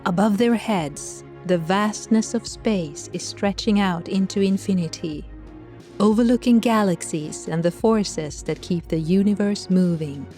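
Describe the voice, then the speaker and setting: A woman's voice: medium pitch, unhurried, mellow, faint music behind. A woman narrating a scripted audio drama as a wry storyteller.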